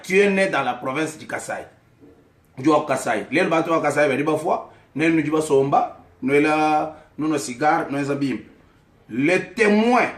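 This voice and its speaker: A man from Kasaï in DR Congo with a Congolese French accent, whose first language is Tshiluba, giving an impassioned political address to camera and calling people out by name. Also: fairly high pitch. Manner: fiery, accusatory, calls out names directly. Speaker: vlogger addressing his community. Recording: echoey room.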